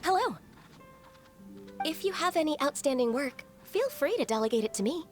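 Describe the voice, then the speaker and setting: A woman voicing a gentle, shy, dutiful half-human young woman in a video game, speaking politely and formally with some hesitance. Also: high voice, very animated, normal speed, faint music behind. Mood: polite, serene. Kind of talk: video game character dialogue.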